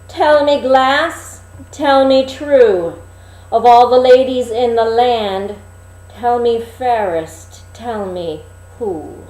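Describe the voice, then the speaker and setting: A woman voicing a vain, imperious storybook queen, declaiming in drawn-out phrases. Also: fairly high pitch, a little mellow, echoey room, pitched above the performer's own voice, low-quality recording. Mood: commanding. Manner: declaiming, slow, drawn-out incantation. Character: vain, imperious fairy-tale queen addressing her magic mirror.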